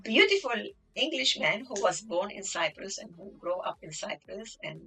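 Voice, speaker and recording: Bright-toned Lebanese-raised woman in her sixties. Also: medium pitch, expressive, normal speed, husky, soft background music, rough recording. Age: 60s. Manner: warm storytelling with frequent restarts and fillers. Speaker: guest. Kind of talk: conversational interview.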